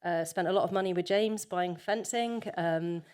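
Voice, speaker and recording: Woman speaker at a panel, lowish voice, lots of ums, chatty and a bit rambly. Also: moderate pace, darkish tone, smooth. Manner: conversational, many filler ums, self-correcting. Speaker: conference panel speaker, farmer. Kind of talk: conference talk with slides.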